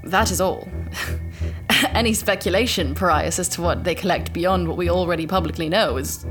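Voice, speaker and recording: An actress playing a composed, proud and guarded visionary scientist who answers formally and evasively in a sci-fi audio drama interview. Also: female voice, lowish voice, normal speed, natural voice. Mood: guarded, defensive. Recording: faint music behind.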